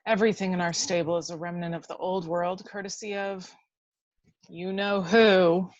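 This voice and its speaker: A woman's voice: lowish voice, fairly even intonation, normal speed, no room sound, muffled recording, lightly acted. A woman playing a serious, matter-of-fact scientist in an amateur screenplay table read.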